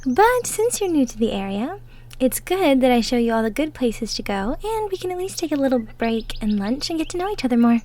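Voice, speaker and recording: High-pitched, sweet young woodland woman, played by an actress, gentle and cheerful, folksy, for an intimate roleplay audio. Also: sing-song, a little fast. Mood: cheerful welcoming. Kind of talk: roleplay audio drama, intimate one-sided conversation.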